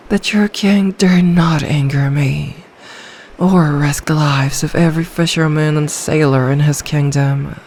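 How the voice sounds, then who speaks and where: Female performer as ancient sea dragon in ASMR roleplay: possessive, regal, seductive, very unhurried delivery, faint ambience behind. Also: deep voice, darkish tone, a little hiss or hum. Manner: regal, seductive, slow and deliberate.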